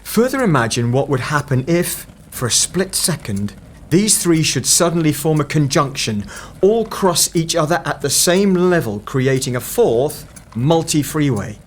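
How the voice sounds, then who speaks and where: A man playing a curt, grave, mysterious time investigator who explains deliberately in an audio drama. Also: fairly high pitch, expressive, a little hiss or hum. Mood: grave, explaining. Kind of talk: fan-made audio drama acting.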